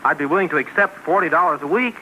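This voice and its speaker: A man's voice: fairly high pitch, animated, very fast, lo-fi sound. A young man in a 1940s radio comedy, an eager tenor pleading for a spot on a show. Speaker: young tenor singer seeking a job. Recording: noisy vintage radio broadcast.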